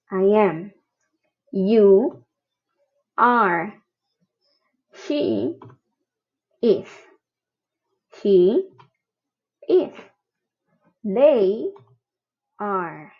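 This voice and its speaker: A woman teaching a grammar lesson, slowly explaining each example and checking in with the learner. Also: medium pitch, muffled recording. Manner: patient, spells out each example. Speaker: English teacher. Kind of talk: slow recorded grammar lesson.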